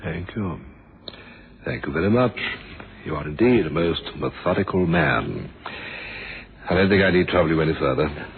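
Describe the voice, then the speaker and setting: A man's voice: a bass voice, sing-song, moderate pace, creaky, long pauses, phone-quality sound, heavily acted. A man acting a shrewd, courteous but suspicious police inspector in a radio drama, drily wry and formal. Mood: wry, probing. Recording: thin band-limited old radio, noisy.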